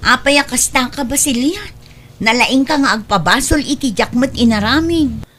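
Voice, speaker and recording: An actress plays a Filipina household servant who is indignant about her good name. Her voice is fairly high. She speaks reproachfully in a comedy drama style. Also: moderate pace, fairly bright tone, faint music behind. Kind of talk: Ilocano comedy radio-style drama acting.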